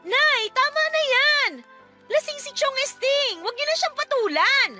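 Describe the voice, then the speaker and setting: A woman's voice: very high-pitched, lively intonation, a little slow, sharp tone, faint music behind, exaggerated voice. A woman playing a meek, hardworking young adult daughter in a radio drama, deferential and respectful. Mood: distressed, pleading. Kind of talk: Tagalog radio drama acting.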